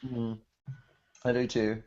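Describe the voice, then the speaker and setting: A man chats casually as a podcast co-host at a middling pitch. He rambles and doubles back on phrases to stress them. The audio is slightly dull and lo-fi. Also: little pitch movement, slow, noisy background. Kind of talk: casual banter about anime.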